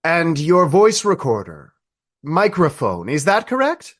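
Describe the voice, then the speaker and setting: A man playing an old friar in a radio play, speaking in formal, ornate, deliberate phrases with gallant fondness. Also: on the high side, huge pitch swings, fairly bright tone, heavily acted. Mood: curious, probing. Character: courtly old Trappist friar, fond, wry, gallant storyteller.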